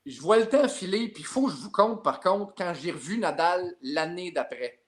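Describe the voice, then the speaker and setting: A man with a Québécois French accent, a sports journalist guest telling a lively story in a podcast chat. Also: high voice, moderate pace, crowd noise. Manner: lively, builds up his story with repeated tags.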